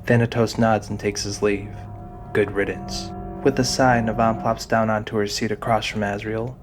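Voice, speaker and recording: A man narrates a fantasy audio drama in a deliberate storytelling style. His voice is fairly low and stays level, with faint music playing behind it. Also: flat delivery.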